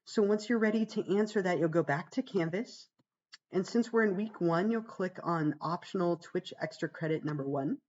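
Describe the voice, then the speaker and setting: A woman psychology instructor, hoarse and gravelly from being sick, walking students through a course page in a chatty, reassuring way with many "um"s. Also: moderate pace, a little mellow, lo-fi sound. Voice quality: gravelly, deeper than usual. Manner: chatty, reassuring, fillers like "um" and "right?". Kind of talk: casual livestream course walkthrough.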